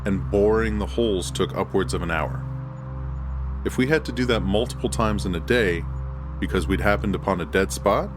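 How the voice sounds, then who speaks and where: Male horror narrator, very low register, deliberate first-person storytelling, clear music bed.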